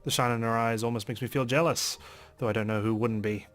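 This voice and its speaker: Expressive male let's player over game music. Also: mid-range voice, fast. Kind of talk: dramatic read-aloud of a visual novel.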